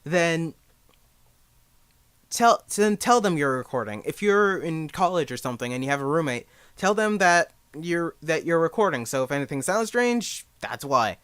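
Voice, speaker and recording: A man's voice: fairly high pitch, very animated, moderate pace, a bit bright, clear, resonant tone, no echo. A young man giving a casual spoken tutorial in a rambling, jokey, self-deprecating way. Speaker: amateur voice actor giving beginner advice.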